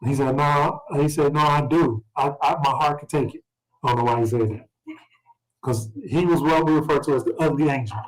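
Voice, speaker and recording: A man with a somewhat raised pitch teaches scripture in an informal way. He moves between reading verses and explaining them in everyday words, prompting listeners with questions as a study leader would. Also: a little fast, poor audio.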